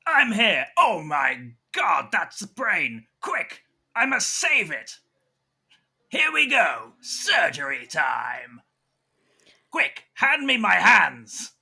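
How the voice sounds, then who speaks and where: A man playing an over-the-top heroic surgeon in a comic roleplay, bombastic and exclamatory, with a raspy, forceful voice. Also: sits high, wildly swooping pitch, extremely slow, heavily acted. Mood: frantic, heroic.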